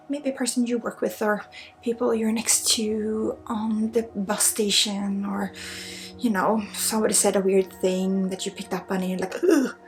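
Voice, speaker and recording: A woman who is a native Swedish speaker, giving an ASMR energy healing in an intimate, halting, casual way, slipping often between soft voice and breathy whisper. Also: medium pitch. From Sweden. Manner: casual, blunt, swearing, halting with asides. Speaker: spiritual healer and ASMR host. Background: music.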